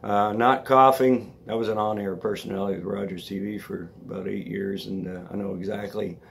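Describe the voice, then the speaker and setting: A man hosting his own vlog, slightly raspy from allergies, talking casually and rambling, often checking in with the viewer. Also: fairly low pitch, little pitch movement, brisk, a little hiss or hum. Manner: chatty, rambling, checks in with "all right?". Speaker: vlog host. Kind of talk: casual talk to camera.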